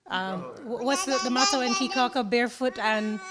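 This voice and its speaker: A woman from Jamaica, a college IT teacher, answering interview questions in a reflective, unhurried way. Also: on the high side, heavy background noise. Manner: reflective, unhurried, speaks of slowing down. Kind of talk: relaxed conversational interview answers.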